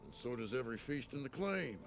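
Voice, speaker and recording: An actor playing a hearty old wordsmith in a video game, telling things in a grandiose, theatrical, gravelly voice. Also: male voice, mid-range voice, sing-song, fairly quick. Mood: curious, jovial. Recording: music behind the voice.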